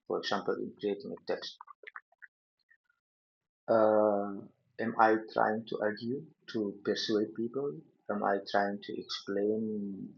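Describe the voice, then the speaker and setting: Slow gravelly Indonesian-accented man lecturing. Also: lowish voice. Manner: halting, many fillers and restarts. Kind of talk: unscripted lecture over slides. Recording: dull lo-fi recording.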